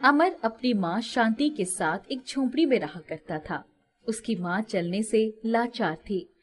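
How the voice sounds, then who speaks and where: A woman's voice: on the high side, animated, measured pace, in a large hall. A woman narrating a scripted moral story as a storyteller.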